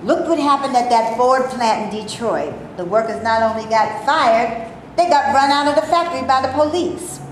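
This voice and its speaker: A woman playing a weary, sharp-tongued actress with a folksy, emphatic delivery in a staged play reading. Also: a little high, expressive, normal speed, natural voice. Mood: weary, skeptical. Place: indoor room, live staged reading. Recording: echoey room, hissy amateur video.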